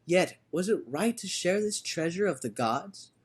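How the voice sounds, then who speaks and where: A bold and generous god of folktale played by a man reading aloud speaks earnestly, as if to his people. The voice is a little high and husky, with expressive rises and falls. It has a caring, declaiming storybook manner. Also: measured pace, exaggerated voice. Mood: pondering. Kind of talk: storybook character voice.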